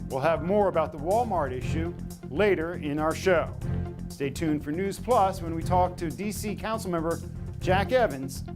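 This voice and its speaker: An American man delivers scripted news in an anchor's style. His voice sits high for a male speaker. Music plays clearly underneath him as he reads. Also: normal speed.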